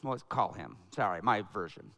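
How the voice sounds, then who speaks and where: A middle-aged man pastoring a church, preaching in a conversational, energetic style that builds to loud emphatic peaks, on a headset mic. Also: fairly low pitch, fairly quick. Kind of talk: sermon with announcements and prayer. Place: church sanctuary.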